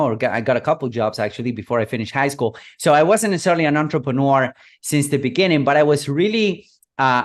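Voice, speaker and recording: Argentine guy, 30s, relaxed storyteller, chatty with lots of fillers. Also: mid-range voice. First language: Spanish. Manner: relaxed, rambling with many fillers like "you know" and "whatnot". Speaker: guest, digital marketing consultant. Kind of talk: interview guest, storytelling.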